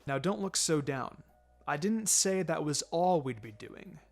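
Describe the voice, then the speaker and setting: A man voicing a calm, firm male ninja teacher in a story, instructing in a measured, matter-of-fact way. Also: on the high side, vocal fry. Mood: reassuring. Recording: music under the voice.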